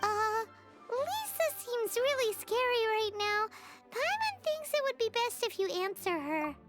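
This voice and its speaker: Squeaky, very high-pitched little fairy companion. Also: female voice, big pitch swings, measured pace, loud music behind the voice, full character voice. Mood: nervous. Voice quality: squeaky, childlike. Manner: speaks of self in third person, exclaiming. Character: tiny excitable childlike fairy companion, chatty and blunt. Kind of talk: video game voice acting.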